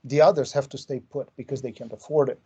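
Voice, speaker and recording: A man's voice: mid-range voice, fairly even intonation, fast talker, dark tone, husky, lo-fi sound. A man from Romania hosting an interview over a video call, talking reflectively with many ums. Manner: reflective, with frequent ums and hedges. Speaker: interview host. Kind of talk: conversational interviewing.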